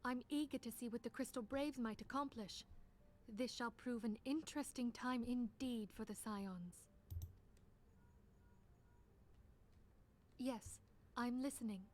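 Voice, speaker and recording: A woman's voice: on the high side, moderate pace, slight background noise, close to an everyday voice. An actress voicing a composed, earnest female leader who speaks formally and with archaic phrasing in a fantasy game. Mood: composed, approving. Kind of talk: scripted game voice acting.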